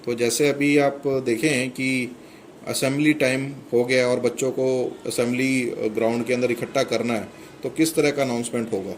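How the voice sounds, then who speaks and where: A man's voice: mid-range voice, steady tone, normal speed, slight background noise. A middle-aged man giving a step-by-step product demonstration, explaining plainly with a few hesitations, indoors beside the equipment. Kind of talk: product walkthrough demo.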